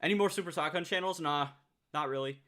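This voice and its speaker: A nineteen-year-old man chatting casually on a livestream, reading out viewer comments, excitable and swearing freely. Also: on the high side, fast.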